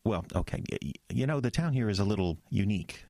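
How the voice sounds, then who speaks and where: An actor playing an earnest, troubled young pastor in an audio drama, stammering and hesitant, with an American accent. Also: male voice, on the low side, lively intonation, quick pace, dark tone, dry recording, clean studio sound. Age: young adult.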